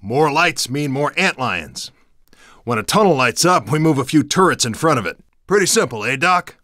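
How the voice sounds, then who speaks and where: A man's voice: on the high side, big pitch swings, normal speed, pushed and bright, dry recording, clean studio sound. A man playing an edgy, sardonic resistance guard in a video game, talking in a colloquial way. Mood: matter-of-fact, wary. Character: edgy, sarcastic male resistance guard showing newcomer around. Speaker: video game minor character.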